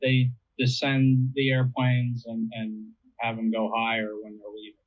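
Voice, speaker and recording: Over a narrow, phone-like connection, a man talks as an interview guest. He explains things casually and conversationally, with an average-pitched voice. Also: completely flat delivery, unhurried. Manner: conversational, explains with everyday analogies. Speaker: interviewee explaining air traffic control. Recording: tinny narrowband phone-like line.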